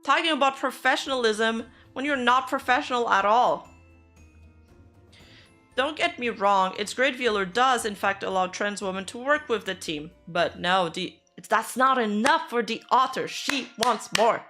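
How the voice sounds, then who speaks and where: A woman's voice: a little high, normal speed, sharp tone, soft background music. Blunt, swearing woman streamer. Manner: blunt, sarcastic, swears freely. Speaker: VTuber streamer. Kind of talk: casual reaction commentary.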